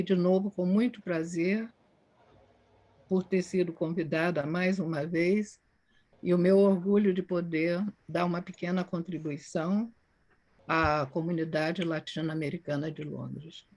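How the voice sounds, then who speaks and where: A woman's voice: on the low side, fairly even intonation, measured pace, a little mellow. An older woman with a Brazilian accent, introducing herself formally and courteously as a doctor at an online event. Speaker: panelist, public health doctor.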